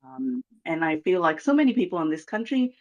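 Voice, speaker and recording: A speaker from Malaysia with a Malaysian accent, giving an earnest, measured address over a video call, pausing often with um. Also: mid-range voice, expressive, highs cut off. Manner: earnest, measured, many um pauses. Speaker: guest speaker from a reconciliation organization. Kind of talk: formal address at a launch event.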